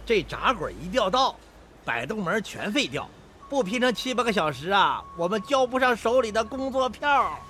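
A jovial, teasing workmate in a radio drama. The voice is high and sharp, with animated, theatrical delivery. Faint plant noise is behind it. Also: male voice, moderate pace, heavily acted. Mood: jocular. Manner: jocular, theatrical. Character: jovial, teasing, rhyme-spouting male factory workmate. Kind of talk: Chinese radio drama acting.

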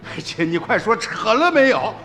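An actor playing a middle-aged village uncle in a radio drama, urgent and principled, barking orders and exclaiming. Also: male voice, high voice, very animated, moderate pace, bright, forceful tone, long reverb tail, heavily acted.

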